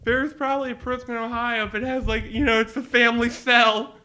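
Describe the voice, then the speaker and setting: Nasal, bright-voiced young man rambling. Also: very high-pitched, brisk, a little hiss or hum, low-quality recording. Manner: rambling, animated storytelling with put-on voices. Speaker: podcast co-host. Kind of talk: casual chat.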